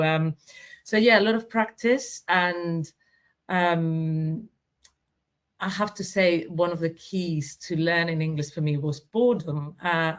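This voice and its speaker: A native Spanish-speaking woman giving a reflective, halting personal account as a webinar panelist, in conversational style. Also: lowish voice. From Spain or Spanish-speaking country. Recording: dull, lo-fi online call audio.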